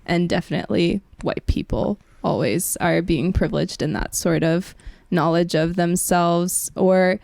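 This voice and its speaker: A woman's voice: fairly low pitch, a little slow, gravelly, darkish tone, no room sound. A young woman hosting a podcast, talking through ideas in a casual, tentative and reflective way. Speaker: podcast host. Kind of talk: thoughtful discussion, casual.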